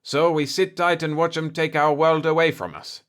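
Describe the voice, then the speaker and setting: A man voicing an indignant, gruff chief of state who complains combatively in a sci-fi audiobook. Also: on the high side, level tone, moderate pace, a bit bright, voice pushed up, natural voice. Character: indignant, blustering cigar-chewing planetary chief of state, male.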